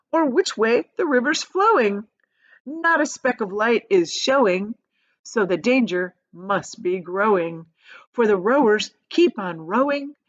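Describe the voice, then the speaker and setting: A woman's voice: medium pitch, lo-fi sound. A woman voicing a manic, eccentric male chocolate maker from a storybook, gleeful and commanding. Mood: gleeful chanting. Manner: exclamatory, commanding, sing-song. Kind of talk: storybook character voice.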